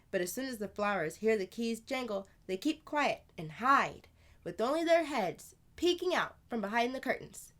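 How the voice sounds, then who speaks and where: A woman voicing a playful, knowing older sister who tells fanciful tales in an animated, confiding way for a children's storybook. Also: medium pitch, voice pushed up. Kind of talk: children's storybook read-aloud.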